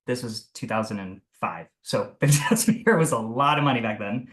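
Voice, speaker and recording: A man's voice: mid-range voice, expressive, fairly quick, a bit bright. Guy in his 30s from italy, real estate agent, rambly and laughy, just chatting on a video call. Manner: rambling, self-correcting, laughs often. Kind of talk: casual storytelling interview.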